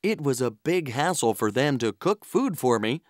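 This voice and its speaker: An actor playing an earnest, polite traveler who tells his adventure in an expressive children's story style. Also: medium pitch, normal speed, dry recording, studio quality, natural voice. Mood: earnest storytelling. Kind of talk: scripted children's story narration in character. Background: music.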